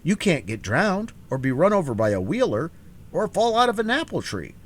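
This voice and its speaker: A man's voice: on the high side, very animated, normal speed, voice pushed up. A man voicing a kindly, easygoing male scarecrow in a storybook reading. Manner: gentle, reassuring.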